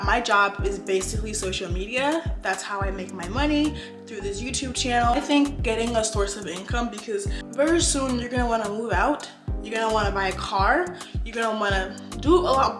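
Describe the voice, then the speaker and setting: A woman's voice: fairly high pitch, echoey room. A seventeen-year-old girl from the USA talking casually to camera about money, with lots of "like" and "I don't know". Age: teens. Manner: chatty, full of "like" and "I don't know". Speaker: finance YouTuber.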